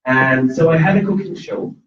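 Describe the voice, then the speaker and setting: Earnest man speaking in an echoey hall. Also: on the high side. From Lebanon. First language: Arabic. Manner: earnest and impassioned, with halting restarts and fillers. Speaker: invited speaker at a campus event. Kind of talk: personal testimony talk to an audience. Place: university event hall. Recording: echoey hall, dull and distant.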